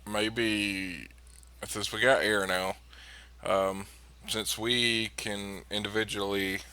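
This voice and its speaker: Man, blunt joking player, deep-ish voice, slow delivery. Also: little pitch movement, bright, forceful tone, slight background noise. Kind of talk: casual tabletop game chat.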